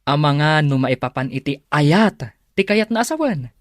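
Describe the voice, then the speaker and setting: A man with an Ilocano accent acting a blunt, animated best friend in a radio drama. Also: on the high side, moderate pace, dry recording, studio quality, natural voice. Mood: teasing, warning.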